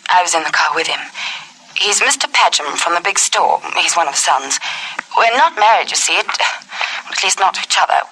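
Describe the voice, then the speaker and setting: A woman's voice: fairly low pitch, animated, moderate pace, bright, forceful tone, slightly rough, full character voice, low-quality recording. An actress playing an anguished, guilt-stricken married woman confessing earnestly as an anonymous informant in a radio crime drama. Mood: anguished, resolute.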